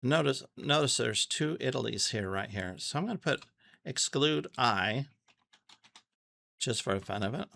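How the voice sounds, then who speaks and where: A man giving a casual, unscripted tutorial, thinking aloud haltingly and repeating phrases as he goes. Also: mid-range voice, lively intonation, vocal fry, sharp tone. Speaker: amateur radio tutorial host. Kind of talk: casual unscripted screen walkthrough.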